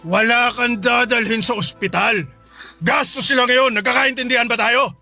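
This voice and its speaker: A man's voice: very high-pitched, fairly even intonation, normal speed, sharp tone, soft background music, exaggerated voice. An actor playing a cruel, stingy, bullying husband and father in a radio drama. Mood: irritated, dismissive. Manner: bullying, sneering, dismissive. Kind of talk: Tagalog radio drama acting.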